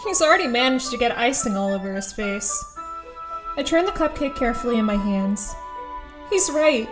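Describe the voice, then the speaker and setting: A woman's voice: fairly high pitch, animated, normal speed, music in the background, natural voice. A woman playing an awkward, earnest teenage schoolgirl who narrates her thoughts in an audio drama, a little hesitant. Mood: observant.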